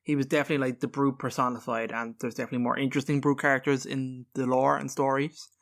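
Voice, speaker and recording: A man's voice: mid-range voice, level tone, fast, creaky. Casual man co-hosting a gaming podcast. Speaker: podcast co-host. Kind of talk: casual video game lore discussion.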